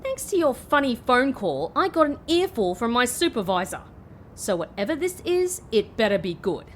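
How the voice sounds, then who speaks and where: An actress playing a guarded, sardonic former secret agent in an audio drama, polite and measured with a dry wit. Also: female voice, a little high, big pitch swings, slight background noise. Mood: irritated, stern. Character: guarded, sardonic woman, former secret agent turned call-centre worker.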